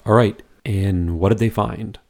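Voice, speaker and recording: A man's voice: lowish voice, steady tone, unhurried, creaky, a little mellow. A man hosting a medical podcast, asking questions and joking wryly. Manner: wry, joking, asks questions. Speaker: podcast host.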